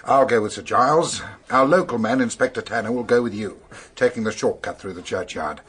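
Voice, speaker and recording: An actor playing a calm, confident police detective in charge in a radio drama, authoritative and matter-of-fact, giving clipped orders. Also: male voice, mid-range voice, fairly quick, husky. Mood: confident briefing.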